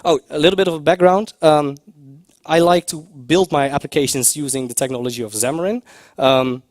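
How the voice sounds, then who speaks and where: A man giving a conference talk, a little hoarse from a cough, hesitant with many fillers and restarts. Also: a little high, steady tone, brisk, no room sound, clean studio sound. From Netherlands. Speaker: conference speaker. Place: lecture room at a tech conference.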